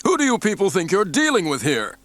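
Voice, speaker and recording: A man's voice: mid-range voice, big pitch swings, takes it slowly, creaky, fairly bright tone, dry recording, pitched above the performer's own voice, exaggerated voice. A man voicing a big, red-faced male county doctor who rages and threatens. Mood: raging. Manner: raging, blustering, threatening.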